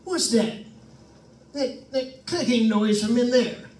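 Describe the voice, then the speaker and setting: A nervous, excitable, penny-pinching property owner from an old suspense radio play, acted by a man. The voice is extremely high and unhurried, with fretful stammers. It sounds roomy and a bit away from the microphone. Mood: anxious, agitated. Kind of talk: old-time radio suspense drama acting. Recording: roomy, distant microphone, faint noise.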